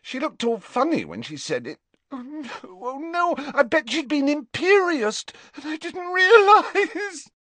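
A frantic schoolgirl sobs out her words in a high, strained voice. Her voice sits far above the narrator's own and has a different timbre. The reading is dry and close. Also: very animated, measured pace, a bit bright, no echo, exaggerated voice. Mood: sobbing, panicked. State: crying. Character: distraught teenage schoolgirl, sobbing and panicked.

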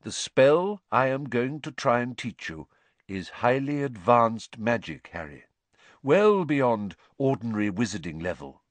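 A man voicing a calm, weary teacher. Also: mid-range voice, unhurried, no echo, pitched above the performer's own voice. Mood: patient. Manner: calm, patient explaining. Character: gentle, weary middle-aged male teacher.

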